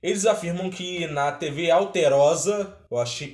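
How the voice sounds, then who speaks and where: A man's voice: a little high, moderate pace, a little mellow. A Brazilian man hosting a reaction video with casual commentary, recorded in a roomy space.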